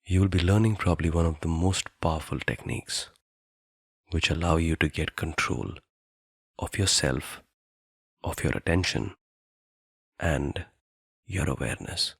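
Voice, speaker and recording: A man guiding a meditation in a soothing, deliberate manner, leaving long pauses between phrases. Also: sits low, gravelly, breathy edge, dry recording, studio quality. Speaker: meditation guide. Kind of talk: guided meditation narration.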